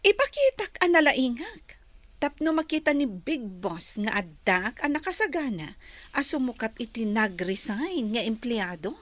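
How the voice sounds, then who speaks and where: High, swooping, scornful office rival. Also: female voice, big pitch swings, measured pace, a bit bright, dry recording. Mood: boastful, scornful. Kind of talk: Filipino radio drama acting.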